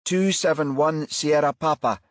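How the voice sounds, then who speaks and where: A man voicing a brisk, alert female police detective in an audiobook, with clipped, urgent delivery. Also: on the high side, no room sound, studio quality, voice pushed up, close to an everyday voice. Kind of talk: audiobook character dialogue.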